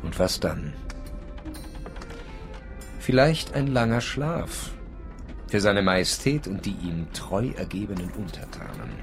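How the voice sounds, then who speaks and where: Brooding, paranoid literary traveller, theatrical radio-play delivery, low register, prominent music underneath. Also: male voice, very animated, takes it slowly, creaky, pauses often, full character voice. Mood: brooding, weary. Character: feverish, paranoid German writer on a 1908 world voyage. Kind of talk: German radio drama, monologue and dialogue.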